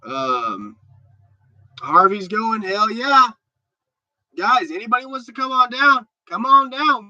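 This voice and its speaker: A man's voice: very high voice, pushed and bright, no room sound, muffled recording. A stuffed-up man hosting a casual livestream, chatting loosely and answering viewer comments with many restarts. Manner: rambling and chatty, with repeated restarts. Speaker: livestream host.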